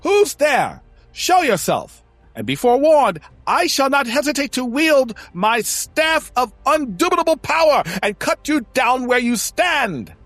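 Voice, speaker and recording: A woman's voice: high voice, big pitch swings, a little slow, fairly bright tone, no echo, faint music behind, exaggerated voice. A woman playing a pompous, arch circus performer on an adventure, speaking grandly in an audio drama. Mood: defiant, grand. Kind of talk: audio drama character acting.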